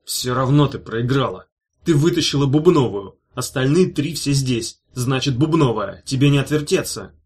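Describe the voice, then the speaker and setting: A man's voice: medium pitch, moderate pace, few pauses, a little mellow, natural voice. A man reading an audiobook, voicing a sinister male demon guard who hisses with fury. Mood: menacing. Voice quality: hissing.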